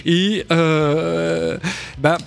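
A man's voice: fairly high pitch, little pitch movement, very slow, vocal fry. A man who is an author, a guest on a radio interview, explaining at length and often stumbling over repeated words.

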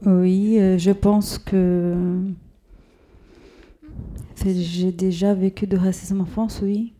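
A woman answering an interview question slowly and deliberately, searching for her words. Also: medium pitch, flat delivery, dark tone.

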